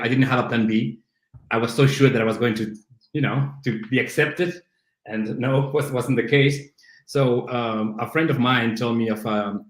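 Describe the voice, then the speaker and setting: A middle-aged man from Argentina with an Argentine Spanish accent, a native Spanish speaker chatting as a podcast guest, self-deprecating and full of restarts. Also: mid-range voice. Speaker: guest, CrossFit coach. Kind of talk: casual interview chat. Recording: roomy echo.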